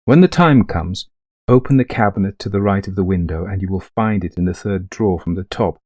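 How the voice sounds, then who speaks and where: An actor playing a middle-aged, greying widower in a ghost story, confiding an eerie haunting to a friend in genteel, old-fashioned phrasing. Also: male voice, low voice, fairly quick, darkish tone, no echo, clean studio sound. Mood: haunted, grave. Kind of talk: dramatised first-person ghost story narration.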